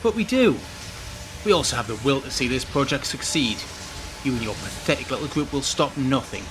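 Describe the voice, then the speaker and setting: A man acts out a ruthless boss of a sinister facility in an audio drama. His voice sits at a middling pitch, pompous and smug with cold menace. Clear noisy ambience runs behind him. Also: lively intonation, brisk, natural voice. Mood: smug, contemptuous. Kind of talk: scripted audio drama acting.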